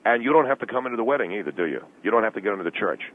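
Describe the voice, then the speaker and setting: A man acts out a middle-aged businessman dad with a low voice, heard down a thin telephone line. He is brash, tight with money and quick to bluster in defense of himself. It plays as radio call-in comedy. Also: lively intonation, slight background noise, close to an everyday voice. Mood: defensive. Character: brash, miserly middle-aged ad executive father of the bride. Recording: band-limited tinny phone line.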